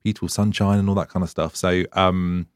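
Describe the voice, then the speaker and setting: Chatty low-voiced host. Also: male voice, little pitch movement, fairly quick, clear, resonant tone, no room sound, studio quality. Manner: chatty, rambling, laughs often. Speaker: podcast host. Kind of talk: casual interview chat.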